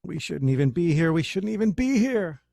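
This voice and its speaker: A man's voice: a little high, very animated, moderate pace, vocal fry, warm tone, dry recording, clean studio sound. A man playing an anxious, defeatist young band frontman in a table read, with a nervous manner. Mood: panicky.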